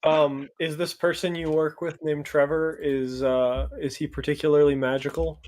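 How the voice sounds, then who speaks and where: A man's voice: on the high side, flat delivery, moderate pace, a little mellow. A man in a tabletop roleplay group, chatting casually with joking, teasing remarks. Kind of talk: casual bantering chat.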